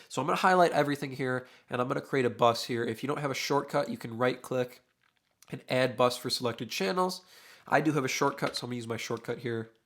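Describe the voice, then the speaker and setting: A young man, a mixing engineer, talking through a studio tutorial in a casual, thinking-aloud way, his voice a little worn. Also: mid-range voice. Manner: relaxed, thinking aloud with many uhs while working. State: losing his voice. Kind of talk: casual screen-recorded tutorial. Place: home studio with drums behind.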